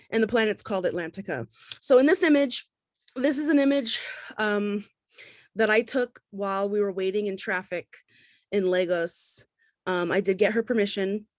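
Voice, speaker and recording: A woman's voice: medium pitch, dry recording. Woman from the Bahamas, dry close sound. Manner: explanatory, conversational. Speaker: artist and professor giving a talk. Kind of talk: informal artist talk with slides.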